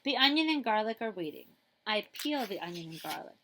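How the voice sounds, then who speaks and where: A woman's voice: medium pitch, sing-song, brisk, fairly bright tone. A woman school librarian reading a children's picture book aloud expressively, with chatty asides to her listeners.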